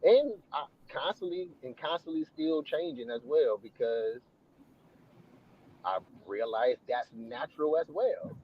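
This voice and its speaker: A man's voice: mid-range voice, animated, a little slow, slightly rough, dull audio. A man who is a guest on a podcast, speaking with stammers and restarts and laughing easily. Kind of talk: casual podcast chat.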